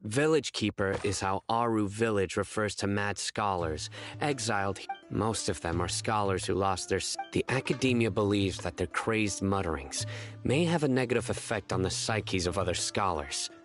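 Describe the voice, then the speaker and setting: An actor playing a stern, proud former enforcer from the desert in a video game, formal and dry in delivery. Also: male voice, on the low side, lively intonation, moderate pace, faint music behind, natural voice. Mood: stern explaining.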